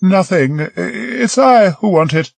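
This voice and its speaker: A man's voice: high-pitched, lively intonation, measured pace, no room sound, pitched above the performer's own voice. A man putting on a spirited, insistent young woman. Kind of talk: audiobook reading.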